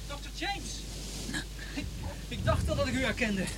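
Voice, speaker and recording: High-pitched, flustered young artist. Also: male voice, lively intonation, normal speed, very noisy. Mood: surprised, flustered. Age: young adult. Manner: hesitant, stammering, eager. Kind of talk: radio drama acting.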